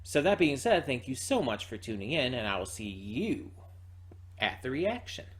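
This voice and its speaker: A man hosting a reaction channel, giving casual spoken review commentary in a halting way, repeating words as he thinks aloud. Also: medium pitch, big pitch swings, a bit bright, slight background noise.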